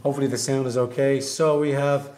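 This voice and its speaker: A man's voice: medium pitch, little pitch movement, fairly quick, darkish tone, echoey room, a little hiss or hum. Blunt Irish man hosting a live stream. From Ireland. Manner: blunt, emphatic, sweary. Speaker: livestream host. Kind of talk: casual live-stream chat.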